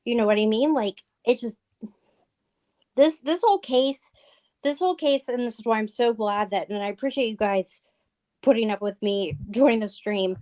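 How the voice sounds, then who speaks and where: A woman's voice: a little high, normal speed, warm tone. A woman guest in a casual livestream chat, talking in an earnest, rambling way full of fillers.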